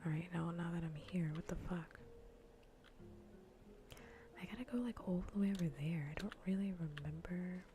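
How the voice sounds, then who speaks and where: A woman streamer chatting casually in a soft, breathy whisper and murmur during an ASMR gaming livestream. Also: fairly low pitch, normal speed, long pauses, slight background noise.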